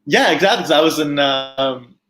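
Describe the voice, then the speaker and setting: A man's voice: on the high side, normal speed, fairly bright tone. A young adult man from Quebec chatting casually with a friend, with loose talk and many fillers. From Quebec, Canada. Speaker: co-host friend. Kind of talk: casual chat.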